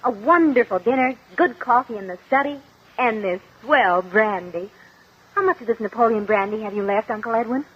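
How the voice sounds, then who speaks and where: An actress playing a spoiled, theatrical young heiress niece in 1950s radio drama style. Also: female voice, fairly high pitch, moderate pace, husky. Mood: flippant. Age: young adult. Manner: theatrical, pleading. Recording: dull lo-fi old radio recording.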